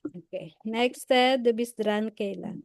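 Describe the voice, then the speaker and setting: A woman's voice: a little high, a little slow, gravelly, darkish tone, no room sound, clean studio sound. A woman teaching German, patiently rephrasing her questions and switching to Tagalog to help a student. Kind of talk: classroom questioning.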